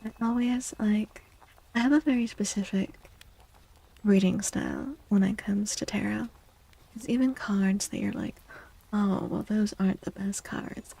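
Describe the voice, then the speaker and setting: A soft-spoken woman livestreaming an ASMR tarot reading, gentle and soothing, with a breathy voice that often slips into a whisper. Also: medium pitch, low-quality recording. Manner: gentle, soothing, unhurried reassurance.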